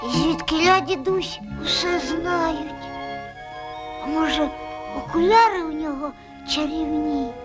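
A woman's voice: sits high, unhurried. A woman voicing a naive, boastful young owlet in a childlike, singsong manner for a Soviet-era cartoon. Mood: wondering. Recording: old cartoon soundtrack with music.

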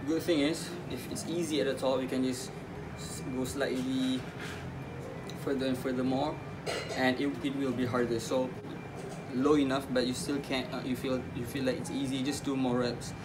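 A young man in his college room talks fitness tips in a flat, level voice, stumbling and restarting as he goes, his phone held close. Loud music plays behind him. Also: medium pitch, normal speed, rough recording. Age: young adult. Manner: relaxed, rambling, many fillers and restarts. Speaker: fitness vlogger. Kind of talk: casual workout tutorial. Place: small college dorm room.

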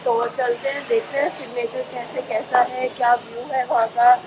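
A woman's voice: fairly high pitch, little pitch movement, moderate pace, background noise. A woman in her thirties, co-hosting a casual travel vlog, speaking to viewers on a phone camera. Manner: friendly, asks viewers to like and subscribe. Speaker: vlogger. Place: car, then a busy street market.

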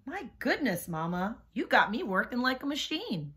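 A woman's voice: a little high, sing-song, normal speed, pushed and bright, husky, voice pushed up, full character voice. A woman voicing an impatient young girl in a picture book, complaining dramatically about having to work. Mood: whiny, fed up. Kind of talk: picture-book character reading.

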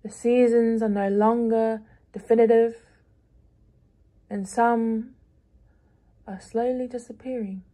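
A woman reading her own spoken-word piece aloud, measured and deliberate, pausing between phrases. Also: a little high, dark tone. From Pacific island.

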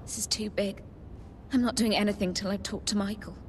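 A woman's voice: medium pitch, steady tone, brisk, slight background noise, natural voice. An actress playing a jaded female celebrity in a video game, dry, sarcastic and dismissive. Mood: guarded. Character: jaded, self-absorbed female celebrity, sceptical and curt. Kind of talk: video game cutscene acting.

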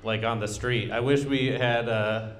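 Slow, halting, awkward male stand-up. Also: mid-range voice. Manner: halting, awkward, rambling, deadpan. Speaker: stand-up comedian. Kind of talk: absurdist stand-up at an open mic. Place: small comedy club stage, indoors. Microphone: handheld microphone.

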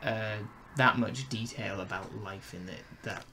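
A young man in casual review chat, speaking haltingly and tentatively as a co-host in a small bedroom. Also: fairly low pitch, bright, forceful tone. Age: young adult. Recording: noisy room ambience.

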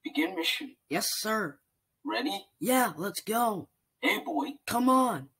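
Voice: medium pitch, animated, slow pace, creaky, a bit bright, husky, voice pushed up, exaggerated voice. A voice actor playing a two-headed male soldier robot whose heads echo each other. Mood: gung-ho.

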